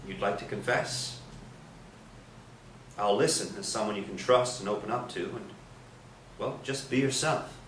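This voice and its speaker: A man's voice: fairly low pitch, unhurried, pauses often, a bit bright. An actor playing a nosy, lecherous priest in a staged play reading, coaxing and insinuating as he goes. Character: nosy, wheedling, lecherous priest hungry for confessions. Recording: roomy, distant stage recording, faint noise.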